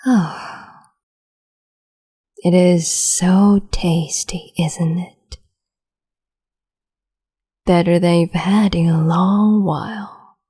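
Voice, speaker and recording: A woman playing a breathy whispering cruel empress. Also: on the low side, steady tone, mellow, exaggerated voice. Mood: cruel doting. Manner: slow, teasing, condescending, doting. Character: cruel capricious female empress, young adult. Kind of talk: ASMR roleplay audio.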